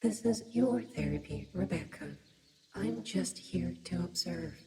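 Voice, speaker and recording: An actress playing a calm, cryptic female therapist in a radio drama, speaking in a measured, clinical, detached way. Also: on the low side, gravelly, faint music behind. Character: calm, cryptic female therapist guiding a virtual-reality fear session. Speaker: actor. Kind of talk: radio theatre acting. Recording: long cavernous reverb, busy sound bed.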